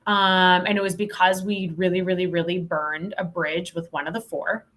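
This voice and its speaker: A woman's voice: lowish voice, steady tone, moderate pace, fairly bright tone. A woman speaking as a podcast guest, telling work stories in a candid, emphatic, informal way. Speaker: podcast guest, sales consultant. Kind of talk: conversational interview storytelling.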